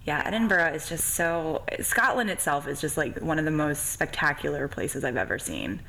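A woman's voice: sits low, animated, moderate pace, gravelly, bright, forceful tone, a little hiss or hum, low-quality recording. A woman hosting a podcast, chatting casually with gushing enthusiasm. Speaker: podcast host. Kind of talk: casual interview chat.

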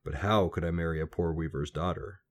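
A man's voice: deep voice, brisk. A man voicing a grand young king in a storybook, courtly, self-assured and commanding. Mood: expectant.

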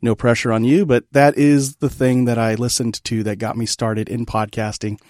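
Male podcast host, playful and self-mocking, mid-pitched voice, dry close-miked recording. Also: little pitch movement, normal speed, a little mellow, dry recording. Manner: joking, self-deprecating, laughs often. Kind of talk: casual podcast interview.

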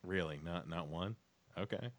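A man's voice: sits low, moderate pace. A young man co-hosting a podcast, recapping an episode in a laid-back, self-deprecating way. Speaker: podcast co-host. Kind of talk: casual chat, recapping episodes.

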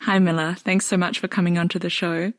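A woman hosting a podcast, reading a calm introduction and asking measured interview questions. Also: lowish voice, no echo, studio quality. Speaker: podcast host, dance artist.

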